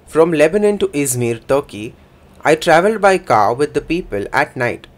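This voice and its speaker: A man voicing a first-person interpretation for a signing speaker in a plain, measured way. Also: a little high, big pitch swings.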